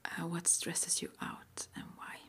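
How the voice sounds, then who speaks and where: A woman whispering softly and breathily as an interviewer in an ASMR roleplay, murmuring little acknowledgements between her questions. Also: a little slow. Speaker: interviewer at a witch school.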